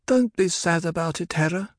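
Storybook child priestess, played by a male narrator: small girl, high, solemn, intense, formal and wise beyond her years. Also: moderate pace, no room sound, studio quality, close to an everyday voice. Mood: consoling, earnest.

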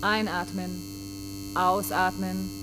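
Radio drama bit part: hospital attendant, slow impersonal breathing commands under heavy machine hum. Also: female voice, lowish voice, level tone. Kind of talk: radio drama, clipped repeated instructions. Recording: loud humming, clicking machine noise.

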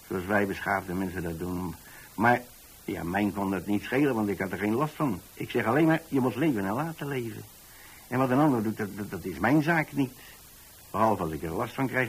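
Husky, rambling old landlord. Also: male voice, fairly low pitch, normal speed, low-quality recording. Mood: chatty, musing. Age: older. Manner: folksy, hesitant, repeats himself. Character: rambling, tolerant retired landlord telling of his lodgers. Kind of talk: radio drama monologue, colloquial storytelling.

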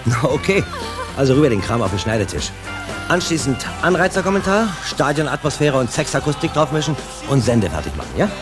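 An actor playing a bossy, impatient television director who barks brisk orders in a radio drama. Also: male voice, medium pitch, sing-song, music in the background, lightly acted. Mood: satisfied, businesslike. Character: bossy, impatient male TV director staging football scenes.

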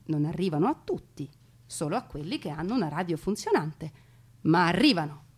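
A woman performing scripted theatrical storytelling, dramatic and deliberate with her pauses. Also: lowish voice, sing-song, breathy edge. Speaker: stage narrator and performer.

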